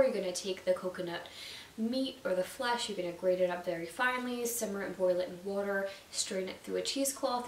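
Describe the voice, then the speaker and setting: A young woman with a slightly nasal, stuffy voice, chatting casually to camera about nutrition, full of "like" and "you know.". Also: mid-range voice. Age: young adult. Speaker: YouTube nutrition vlogger. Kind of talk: casual explainer talking to camera. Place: indoors at home, seated on a sofa. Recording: roomy echo, distant mic, faint hiss.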